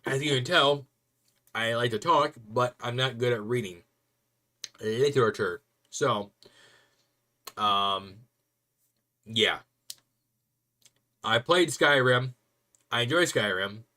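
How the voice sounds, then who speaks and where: A young man rambling casually to viewers on a stream, chatty and quick to laugh. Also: medium pitch, pushed and bright.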